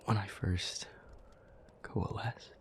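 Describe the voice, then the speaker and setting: A man playing a weary, gentle demon in an intimate audio roleplay, speaking breathily and earnestly with halting pauses. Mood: wistful, reflective. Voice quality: breathy, whispery, low. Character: weary, gentle male demon, homesick and protective.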